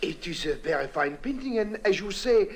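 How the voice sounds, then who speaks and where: An actor playing a fussy, hesitant art seller in a 1950s radio drama, on a noisy old recording. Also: male voice, sits high, animated, normal speed. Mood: coaxing. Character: fussy, haggling male French art seller.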